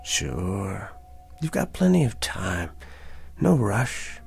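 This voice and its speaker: A smooth-talking schemer in a tv drama, low crackly voice, slow deliberate pitch, faint music behind. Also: male voice, sing-song, a little mellow, full character voice. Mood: coaxing, persuasive. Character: calculating, quietly persuasive man bargaining in years of life. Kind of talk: television drama acting.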